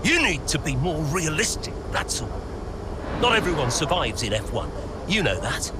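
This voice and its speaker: A man's voice: fairly high pitch, big pitch swings, normal speed. An actor playing a stern, wealthy middle-aged father and investor who speaks in a cold, businesslike way. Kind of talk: video game cutscene acting. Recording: game cutscene with loud street and vehicle noise.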